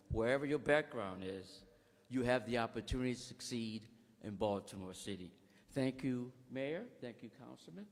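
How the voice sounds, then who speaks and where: A man speaking at a podium, delivering a formal address that he sometimes restarts mid-sentence. His voice is mid-pitched, with a long reverberant tail, as if it is heard through a hall PA system. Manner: formal speech with frequent restarts and thank-yous. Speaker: executive director of a nonprofit. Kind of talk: public speech at a bill signing.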